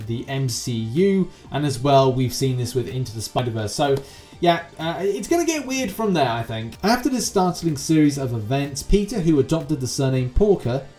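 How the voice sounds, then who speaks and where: A man's voice: on the high side, mellow. A young adult man hosting an explainer video, talking in a casual, rambling, conversational way. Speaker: YouTube host. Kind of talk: casual scripted explainer with ad-libbed commentary. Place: small room at home with green screen. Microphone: close desk microphone. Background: background music.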